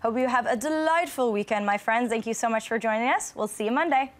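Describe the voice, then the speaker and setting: A woman's voice: fairly high pitch, quick pace. An enthusiastic, chatty woman hosting a panel discussion about films in a studio. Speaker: panel show host.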